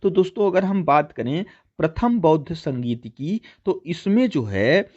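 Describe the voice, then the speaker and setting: A man's voice: a little high, expressive, measured pace, darkish tone, muffled recording. A man teaching an exam-prep lesson, addressing listeners as friends and repeating key points as he explains. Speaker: teacher hosting a history quiz channel.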